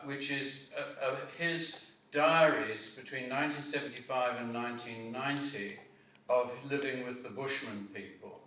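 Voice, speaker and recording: A man's voice: medium pitch, fairly bright tone. A man speaking on a panel, a film director telling anecdotes in a self-deprecating, chatty way. He is picked up from well back in a large hall, with a long, heavy echo around his voice. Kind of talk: panel discussion talk. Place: lecture hall. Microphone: room mic from a distance. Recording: echoey hall from far off.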